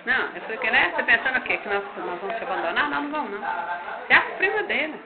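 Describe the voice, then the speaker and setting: Uma mulher conversando de forma animada numa festa de família, num vídeo caseiro com eco, som abafado e muita gente falando ao fundo. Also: female voice, on the high side. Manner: lively, chatty, quick exclamations. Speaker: family member at a party. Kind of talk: casual family chat. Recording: echoey home video with tinny sound and crowd. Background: crowd of relatives talking.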